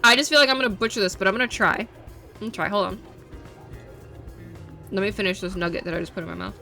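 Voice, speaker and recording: A playful woman streamer who chats with her viewers and laughs at her own mistakes. Her voice is bright and crisp, sitting mid-range. Faint music plays underneath her talk. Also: mid-range voice, fast. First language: English. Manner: playful, chatty, self-deprecating. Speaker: variety streamer. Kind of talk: casual live-stream chat.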